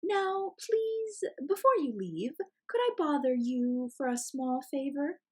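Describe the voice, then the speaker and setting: A woman's voice: high voice, animated, slow pace, voice pushed up. Woman voicing a clever, courteous storybook fox. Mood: coaxing.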